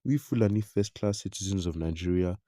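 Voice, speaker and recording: Man, very deep voice, mellow tone, news voice-over, dry close recording, even scripted read. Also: a little fast, no echo. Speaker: news narrator. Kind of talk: scripted news narration, reading out quotes and comments.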